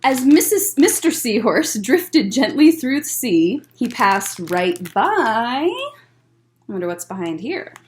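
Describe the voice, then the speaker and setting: A woman hosting story time, reading a picture book aloud to young children in an expressive, deliberate way with short asides. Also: fairly high pitch, slight background noise.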